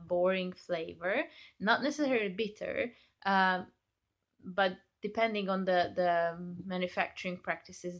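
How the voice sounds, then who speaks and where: A young woman whose first language is Hungarian explains things at an unhurried, slow pace. She talks in a thoughtful way, full of ums and small self-corrections. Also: mid-range voice, fairly bright tone. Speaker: chocolate maker. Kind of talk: informal explainer video.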